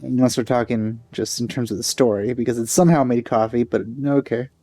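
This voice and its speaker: Mellow man rambling over a video game. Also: mid-range voice, steady tone, brisk, soft background music. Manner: rambling, hesitant, self-correcting. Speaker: let's play host. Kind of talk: casual gaming commentary.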